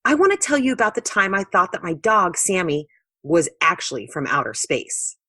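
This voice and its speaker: A woman voicing a young boy earnestly telling a story to friends in a children's storybook, with exaggerated, animated delivery. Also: lowish voice, moderate pace, no echo, studio quality, full character voice. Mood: earnest, puzzled.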